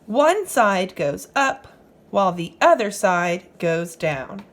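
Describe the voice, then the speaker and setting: Slow, expressive woman reading aloud to children. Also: mid-range voice. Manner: instructive, asks the listener questions. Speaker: teacher reading a picture book aloud. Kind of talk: read-aloud for young children with explanatory asides.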